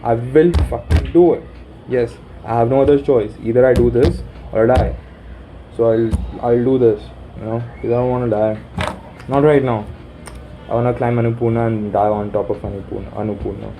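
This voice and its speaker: A young man with a deep voice rambling through his plans aloud, halting and swearing casually, recorded on his phone while sitting in a room corner. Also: steady tone, noisy background. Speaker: vlogger. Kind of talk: rambling self-talk planning.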